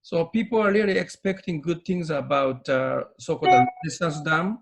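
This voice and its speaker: Japanese-accented male interviewer with a creaky voice. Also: fairly high pitch, moderate pace. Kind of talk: conversational interviewing. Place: remote call.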